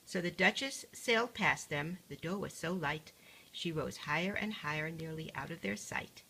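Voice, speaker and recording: An older woman reading a rhyming picture book aloud like a grandmother, measured and singsong. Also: deep voice, pushed and bright, slight background noise, rough recording.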